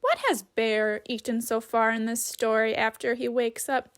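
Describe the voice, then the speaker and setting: A woman reading a storybook aloud in a deliberate, instructive teacher's manner, pausing to ask questions. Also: a little high, flat delivery.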